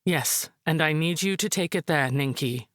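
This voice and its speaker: A woman playing a proud, resolute high priestess, formal and composed, in a visual novel drama. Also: moderate pace, a bit bright, no room sound, studio quality. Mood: urgent, commanding.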